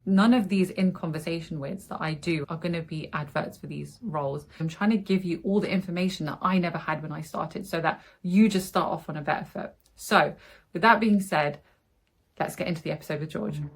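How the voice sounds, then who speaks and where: Woman, podcast host, fairly low voice, earnest, enthusiastic, conversational. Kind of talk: casual interview and spoken intro.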